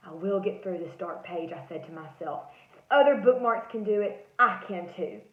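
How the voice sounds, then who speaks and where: A woman's voice: moderate pace, close to an everyday voice. A woman voicing a once-terrified, plucky male bookmark in a theatrical, dramatic picture-book read-aloud. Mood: calming, determined. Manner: theatrical, rising from hushed suspense to high cries. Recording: roomy echo, slightly dull lo-fi sound.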